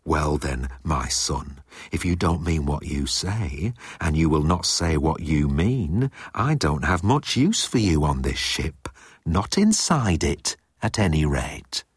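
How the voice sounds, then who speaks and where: Man playing a very low, pompous alien commander. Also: big pitch swings, slightly rough, no room sound, clean studio sound, heavily acted. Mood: cold. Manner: pedantic, deliberate, crooning menace. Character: pompous, menacing alien commander and cold father.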